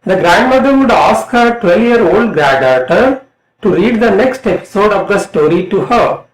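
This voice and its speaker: A middle-aged man with an Indian accent reading a scripted lesson as an English lecturer, in a deliberate, emphatic way. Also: high-pitched, animated. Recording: roomy, echoing voice.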